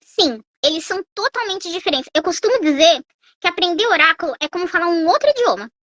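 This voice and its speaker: A woman's voice: very high voice, expressive, a little fast, bright, forceful tone, no room sound. A Brazilian woman, a guest oracle reader, explaining patiently on a live stream with everyday analogies and frequent tag questions. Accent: Brazilian Portuguese.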